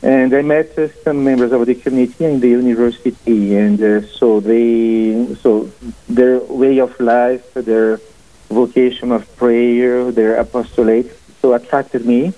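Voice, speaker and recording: Portuguese-accented guy in his forties on a phone line, average pitch, stop-start radio interview talk. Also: fairly even intonation. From family from Portugal. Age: 40s. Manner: halting, many fillers and restarts. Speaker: priest guest and author. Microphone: phone call into studio.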